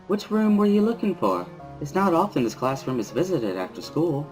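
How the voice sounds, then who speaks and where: A serene, formally polite schoolgirl, put on by a man in a soft voice pitched well up. The words come measured and composed, as in a dramatic reading of a visual novel. Music plays behind. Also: big pitch swings, poor audio. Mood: calm, polite.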